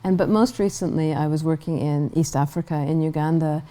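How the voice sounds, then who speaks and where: A middle-aged woman from Guyana, a human rights director, answering interview questions in a measured way with frequent restarts. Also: low voice, dark tone.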